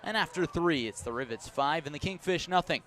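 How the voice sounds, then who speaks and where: An American man doing live play-by-play baseball commentary for radio, measured between pitches and lifting on plays. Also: animated, fairly bright tone. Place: outdoor ballpark broadcast.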